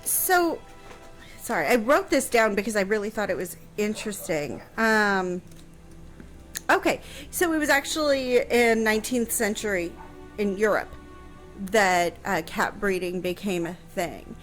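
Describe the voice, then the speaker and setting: A woman's voice: medium pitch, lively intonation, unhurried, fairly bright tone, faint music behind. A woman chatting casually on a radio show, answering a history question with lots of fillers and restarts.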